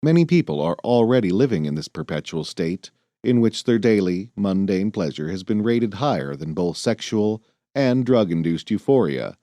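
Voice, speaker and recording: A man reading a nonfiction audiobook in a measured, earnest, clearly phrased way. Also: fairly low pitch, warm tone, dry recording, studio quality. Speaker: audiobook narrator. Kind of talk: scripted nonfiction audiobook narration.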